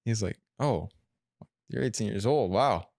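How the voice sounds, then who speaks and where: A young man telling stories as a podcast guest, animated and acting out what he and others said. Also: fairly low pitch, unhurried, gravelly, no room sound, studio quality. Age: young adult. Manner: animated storyteller, acts out his own quotes. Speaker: guest, sales closer. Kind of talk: casual interview chat.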